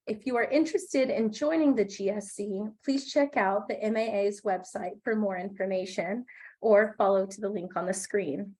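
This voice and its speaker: A woman's voice: mid-range voice, a little mellow. A young woman with a slightly wheezy voice from a recent cough, chairing a graduate committee and reading welcome remarks on a video call.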